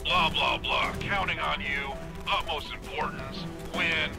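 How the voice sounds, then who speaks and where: Gruff commander briefing. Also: male voice, a little high, a little slow, music in the background. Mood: bored briefing. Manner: clipped, dismissive, mumbling filler. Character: gruff military commander giving a mission briefing.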